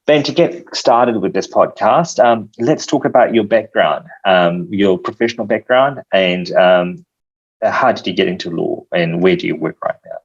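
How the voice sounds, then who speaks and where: Low-voiced hesitant podcast host. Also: male voice, moderate pace, husky, muffled recording. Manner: hesitant, lots of ums between phrases. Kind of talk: interview hosting.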